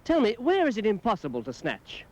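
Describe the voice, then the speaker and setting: A sports show host in a spoof broadcast. He pitches his voice high and keeps it very lively, with an upbeat presenter's delivery. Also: brisk, very noisy. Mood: brisk, upbeat.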